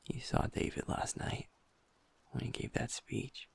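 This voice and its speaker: A man voicing a gentle young werewolf boyfriend in an intimate audio roleplay. He talks softly and close to the mic, often half-whispering, and pauses and restarts as he confides. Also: lightly acted. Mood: vulnerable, confiding. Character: gentle young male werewolf boyfriend, usually upbeat, now downcast.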